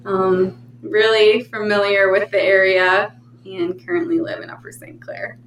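A woman's voice: mid-range voice, steady tone, unhurried. A woman counselor answers interview questions in a friendly, chatty way. The room gives her voice an echo, and the mic is some distance away. Faint hum behind. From Pennsylvania, USA. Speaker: counselor and program manager. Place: library meeting room.

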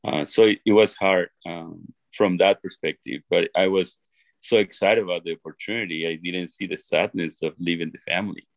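Gravelly man with an Ecuadorian Spanish accent. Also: deep voice, fairly even intonation, no echo. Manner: earnest, halting, with frequent restarts. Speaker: interview guest. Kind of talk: reflective personal storytelling.

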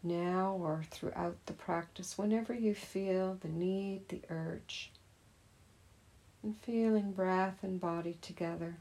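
A woman gently leading a guided meditation as a teacher, in a soothing, unhurried manner. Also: fairly low pitch, steady tone.

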